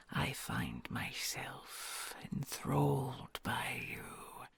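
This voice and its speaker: A woman voicing a kind, gentle female red dragon queen in an ASMR audio roleplay, talking in a soft, soothing, mostly whispered way. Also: deep voice, vocal fry, heavily acted. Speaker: voice actor.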